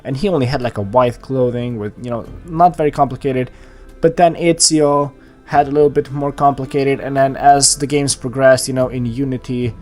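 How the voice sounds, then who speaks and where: A man's voice: medium pitch, fairly even intonation, moderate pace, darkish tone, faint music behind. A man casually talking over his drawing process, rambling with lots of fillers and restarts. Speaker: art channel host. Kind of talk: casual voice-over commentary on a sketch.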